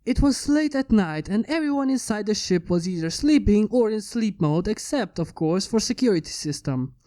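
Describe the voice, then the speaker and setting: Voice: medium pitch, warm tone. A narrator reading a fan fiction story aloud in a scripted storytelling style.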